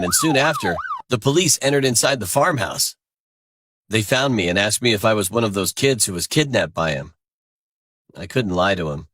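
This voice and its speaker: Guy reading out a first person crime story, lowish voice, dry close sound. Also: a bit bright, no room sound. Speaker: story narrator.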